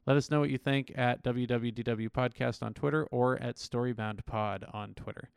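A man's voice: mid-range voice, fairly even intonation, normal speed, creaky, clean tone. A man hosting a podcast, making an informal, upbeat and enthusiastic announcement in a casual style. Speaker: podcast host.

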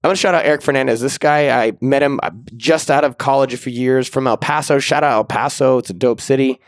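A slightly raspy man in a mid range hosts a podcast in a loose, upbeat, slangy way. His sound is dry and close-miked. He hypes people up and chats like he is talking to a friend. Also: mid-range voice, fast talker, dry recording. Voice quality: a little raspy. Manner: upbeat, slangy, hypes and gives shout-outs. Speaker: podcast host. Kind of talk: casual podcast chat and outro.

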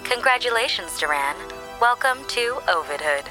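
A woman voices a female AI guide from a space audio drama. The voice is bright and animated, with formal poise and a streak of playful sass. Music plays softly underneath. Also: a little high, moderate pace, rough recording. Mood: formal, serene.

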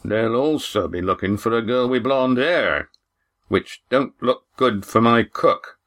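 A man voicing a steady, wary, commanding tavern man with folksy nautical speech in an audiobook. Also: medium pitch, a little slow, pitched above the performer's own voice, lightly acted. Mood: grim, steady. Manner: terse, folksy nautical dialect.

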